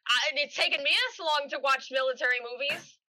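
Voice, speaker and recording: A woman in her twenties chatting on a podcast, telling animated stories. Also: sits high, a little fast. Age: 20s. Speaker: podcast co-host. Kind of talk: casual podcast chat.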